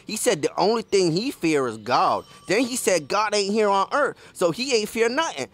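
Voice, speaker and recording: Guy as an excited rustic townsfolk messenger, high voice, rambling on urgently, western radio play. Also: normal speed. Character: excitable, breathless Old West townsman messenger. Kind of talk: western audio drama acting.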